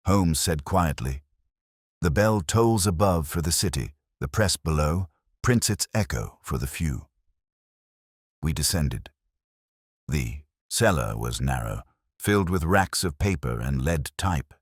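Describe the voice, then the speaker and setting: A man with an extremely low voice narrates a first-person mystery story. His delivery is measured and literary, with widely varied intonation. The sound is dry, with hardly any room on it. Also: animated, dry recording. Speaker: audiobook narrator.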